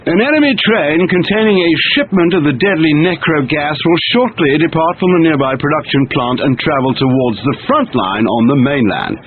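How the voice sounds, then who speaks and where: An actor playing a commanding military officer giving a formal, emphatic mission briefing in a video game, through a radio-like filter. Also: male voice, fairly high pitch, animated, moderate pace, hardly any pauses. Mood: commanding, urgent. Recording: tinny radio-like band, faint noise.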